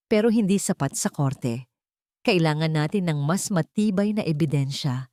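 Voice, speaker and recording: A woman voicing a serious, blunt lawyer in his forties, calm and formal, in a narrated drama story. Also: on the low side, no room sound, studio quality.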